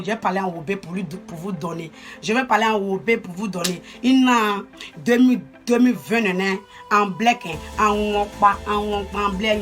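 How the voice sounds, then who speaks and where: A woman's voice: mid-range voice, fairly bright tone. A woman casually addressing her followers in a repetitive, emphatic way. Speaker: social media personality. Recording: music playing behind voice.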